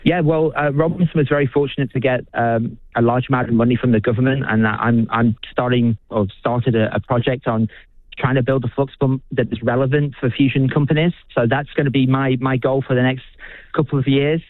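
A British physicist being interviewed on the radio, speaking with enthusiasm, halting often and restarting his sentences. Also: medium pitch, fairly even intonation, dry recording. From United Kingdom. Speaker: physicist and researcher. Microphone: phone. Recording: dry tinny phone line.

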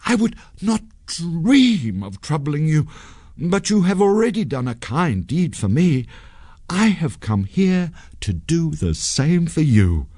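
A quick-witted young storybook hero, played by a man, earnest and urgent. The voice is pitched very high for a male and leaps about with dramatic animation. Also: sing-song, warm tone, no echo, studio quality, heavily acted. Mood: wheedling. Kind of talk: storybook character voice.

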